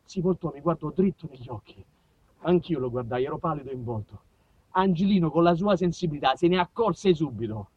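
A man with a southern Italian accent and a husky voice, delivering a dramatic theatrical monologue. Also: a little high, lively intonation, a little fast, mellow, no room sound, highs cut off. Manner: dramatic, shifts between narration and character voices. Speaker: stage actor telling autobiographical stories.

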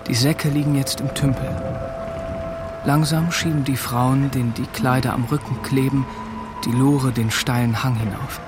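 A male actor plays an observant young soldier telling a wartime radio drama. His mid-range voice is sombre and literary, with music clearly behind. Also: mid-range voice, steady tone, moderate pace, lightly acted. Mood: watchful, sombre. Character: observant young soldier narrating what he witnesses. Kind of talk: literary present-tense radio drama narration.

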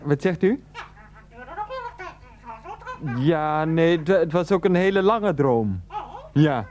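A man's voice: fairly high pitch, animated, a little slow, darkish tone. A man playing a put-upon husband and dutiful son in a radio play, stammering and incredulous. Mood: placating.

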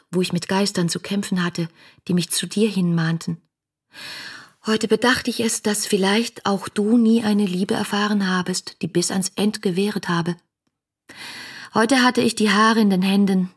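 An actress in a literary radio drama playing a passionate woman writer who yearns for her beloved poet, speaking in fervent, poetic phrases with a husky, breathy voice. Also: mid-range voice. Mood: anguished, pleading. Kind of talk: literary radio drama monologue, reading letters aloud. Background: music.

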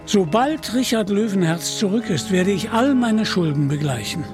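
A man's voice: high-pitched, lively intonation, normal speed, music in the background, voice pushed up. A man voicing a proud but indebted knight in an audio storybook, speaking formally and earnestly. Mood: earnest, pleading.

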